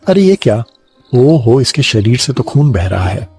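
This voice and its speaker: Man voicing a husky, earnest poor villager. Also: medium pitch, sing-song, a little slow, a little mellow, dry recording, soft background music, heavily acted. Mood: alarmed. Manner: earnest, pleading. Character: kind, humble, earnest poor villager in a cartoon.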